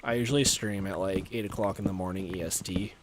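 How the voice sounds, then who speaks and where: A young North American man with a very deep, chill voiceover tone rambles through a relaxed livestream, sprinkling in "like" and soft laughs. A clear hiss of noise runs under his microphone. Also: fast talker. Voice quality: low natural voice, chill voiceover tone. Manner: rambling, many "like" fillers, laughs often. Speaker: streamer. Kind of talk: casual livestream chat.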